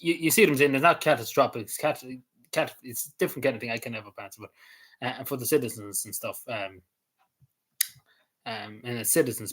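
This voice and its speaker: Man, casual vlog commentary, rambling, hesitant, lots of fillers, mid pitch. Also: a bit bright, breathy edge. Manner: rambling, hesitant, many fillers and restarts, chuckles often. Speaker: vlogger.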